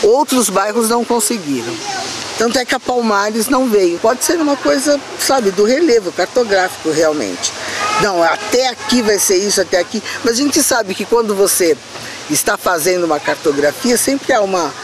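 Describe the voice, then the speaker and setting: A man's voice: high-pitched, expressive, moderate pace. A man in a documentary interview, reflectively recounting the history of his neighborhood. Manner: reflective storytelling. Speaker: community member interviewee. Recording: clear background noise.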